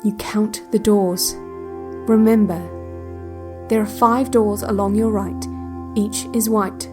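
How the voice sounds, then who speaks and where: A woman playing a controlled, quietly ominous relaxation-cassette narrator, guiding a visualization in a measured, hushed, detached way over faint music. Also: mid-range voice, flat delivery, close to an everyday voice. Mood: hypnotic, eerie. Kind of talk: slow guided visualization, scripted audio drama.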